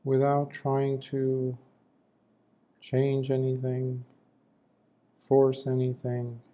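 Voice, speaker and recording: A man leads a guided meditation in a very dark, soft-edged voice. He speaks slowly and gently, offering short phrases of instruction and leaving long pauses between them. His delivery is that of a monk teaching calm practice. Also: medium pitch, dull audio.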